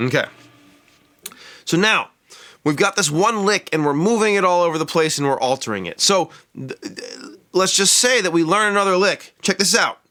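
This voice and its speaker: A man's voice: sits high, animated, normal speed, sharp tone. A man giving a casual lap steel guitar lesson. He chats as he plays and counts out the scale degrees of each lick. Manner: chatty, explains while playing, counts out scale degrees. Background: lap steel guitar playing.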